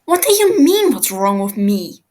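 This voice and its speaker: A woman's voice: mid-range voice, big pitch swings, unhurried, darkish tone. A woman voicing a brash, volatile young man with a snappy, sweary manner in a story reading. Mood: angry. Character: brash, volatile young male healer with a temper.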